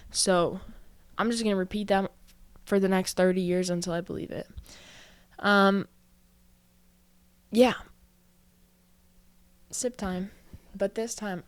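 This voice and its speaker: A woman's voice: medium pitch, a little mellow, smooth, dry recording. A woman in her early twenties hosting a casual solo podcast, talking in a chatty, self-reflective way full of "like" fillers and a little out of breath. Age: early 20s. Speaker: podcast host.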